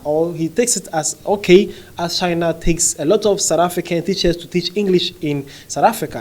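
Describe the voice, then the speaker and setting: A man's voice: fairly high pitch, darkish tone. A man talking conversationally as he reads social media comments aloud and reacts to them, with frequent fillers and check-ins like "okay?". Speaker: commentary vlogger.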